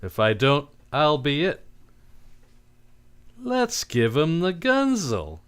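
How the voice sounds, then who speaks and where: A tough wisecracking detective from old-time radio. His medium-pitched man's voice moves up and down a lot. He talks bluntly and commandingly, never backing down. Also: smooth. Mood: insistent. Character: tough, defiant, wisecracking male private detective.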